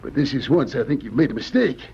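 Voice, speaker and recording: A man's voice: medium pitch, expressive, fast, gravelly, a little mellow. A man acting a folksy, hopeful gold prospector in an old-time radio drama, with a hesitant, homespun drawl. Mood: worried, defensive. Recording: muffled, noisy old-time radio recording.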